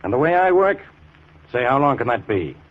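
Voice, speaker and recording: An American actor playing a methodical, self-satisfied police inspector in a 1940s radio crime drama, questioning in a deliberate, official way. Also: male voice, medium pitch, big pitch swings, close to an everyday voice. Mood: businesslike. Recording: thin phone-like 1940s radio recording.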